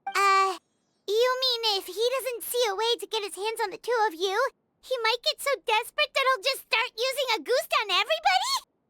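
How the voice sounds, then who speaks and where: Game mascot, tiny childlike sprite: extremely high, bright squeal, excitable and earnest. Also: female voice, moderate pace, no echo, heavily acted. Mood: alarmed. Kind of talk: video game voice acting.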